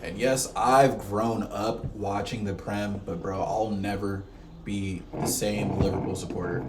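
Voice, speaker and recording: A man's voice: lowish voice, steady tone, a little slow, reverberant, background noise. A young man from Florida co-hosting a casual soccer podcast, laid-back and slangy, saying "bro" a lot. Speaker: podcast co-host. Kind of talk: casual sports chat.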